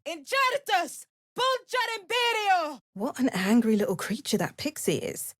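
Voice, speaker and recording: Measured, watchful fantasy adventurer, dry studio sound. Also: female voice, sits high, wildly swooping pitch, fairly bright tone, no room sound, full character voice. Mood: incanting, intense. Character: female fantasy adventurer, watchful, wry, steely spellcaster. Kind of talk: scripted video game voice lines, exploration and combat barks.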